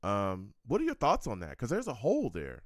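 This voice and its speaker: A man hosting a casual sports talk show, lively and prone to repeating words as he starts his thoughts. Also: medium pitch, fairly quick, no echo, studio quality. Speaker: podcast host.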